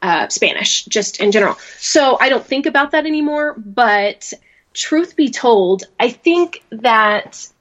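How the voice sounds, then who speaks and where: A woman's voice: fairly high pitch, expressive, a little slow, fairly bright tone, highs cut off. A woman from rural Louisiana, talking casually as a podcast guest, rambling and laughing often as she restarts her sentences. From rural Louisiana, USA. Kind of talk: casual interview talk.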